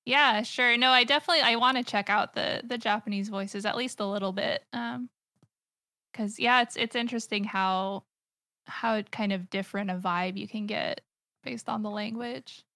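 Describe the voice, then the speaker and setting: An American woman talks casually as a podcast guest. She stops and restarts her sentences a lot, and her voice is gravelly and bright over a dry, close mic. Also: mid-range voice, fairly even intonation, moderate pace, dry recording. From United States. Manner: hesitant, many fillers and restarts. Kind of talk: casual chat.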